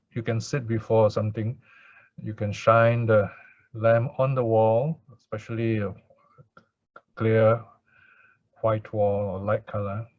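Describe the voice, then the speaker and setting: A man speaking as a Buddhist meditation teacher, in gentle, unhurried devotional chanting or guided instruction with pauses. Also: fairly low pitch, no room sound. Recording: dull lo-fi recording.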